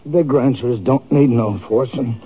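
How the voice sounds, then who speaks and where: An actor playing a wounded, bitter, grieving Texas farmer in an old radio western, speaking in a rustic, halting, strained way. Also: male voice, mid-range voice, slightly rough. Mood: bitter, resentful. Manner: rustic, halting, weary speech. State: injured, strained. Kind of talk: 1950s radio western acting. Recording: narrow, muffled old-time radio recording.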